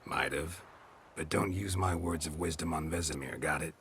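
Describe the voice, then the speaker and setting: A very deep, terse witcher. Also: moderate pace, slight background noise. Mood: teasing. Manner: terse, dry, deadpan. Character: gruff male witcher, dry and teasing mentor. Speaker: witcher, mentor to a young girl. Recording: game audio with faint ambience.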